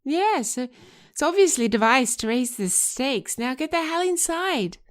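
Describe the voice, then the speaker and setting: A woman's voice: high-pitched, very animated, a little slow, pitched above the performer's own voice. A woman voicing an affected, evasive woman, fond and hesitant but bossy, in a scripted screenplay reading.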